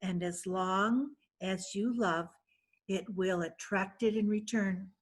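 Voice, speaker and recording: A woman playing a vain, flirtatious wealthy widow in an amateur stage reading, laughing often and speaking in a slow, theatrical way. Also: medium pitch, slightly rough. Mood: boastful. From San Francisco. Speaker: reader in a play reading. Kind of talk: amateur readers' theatre acting.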